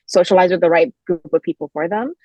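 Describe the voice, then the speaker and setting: A woman's voice: fairly low pitch, fast, a little mellow, no room sound, low-quality recording. A woman in her twenties from Nigeria who grew up mostly in Canada, chatting casually as a guest in a podcast interview. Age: 20s. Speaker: podcast guest, fashion designer.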